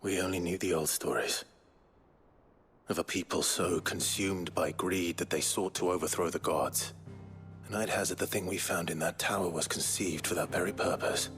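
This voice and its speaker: An actor playing a grim, weary, brooding leader in a dramatic video game, speaking in a dry, measured way. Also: male voice, low-pitched, steady tone, fairly bright tone, soft background music. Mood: brooding, grave. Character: grim, weary male leader haunted by power.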